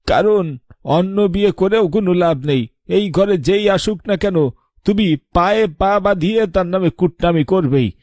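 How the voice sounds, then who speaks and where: Man acting reproachful husband, slightly high voice. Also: darkish tone, no room sound, studio quality, close to an everyday voice. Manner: scolding, weary, moralising. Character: reproachful older husband, grieving father-in-law. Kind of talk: animated horror story drama dialogue.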